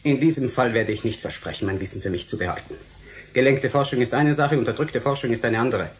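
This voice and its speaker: A defiant young scientist acted by a man in a radio drama. He is medium-pitched and insistent, challenging and articulate, with faint background ambience. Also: fast, slight background noise, natural voice. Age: young adult. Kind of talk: German radio drama acting.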